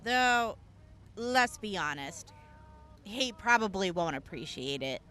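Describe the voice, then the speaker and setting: A woman's voice: medium pitch, animated, takes it slowly, sharp tone. A woman acting in an audio drama as a blunt, sardonic, reluctant field medic with a wry, casual and hesitant manner. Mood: dry, matter-of-fact.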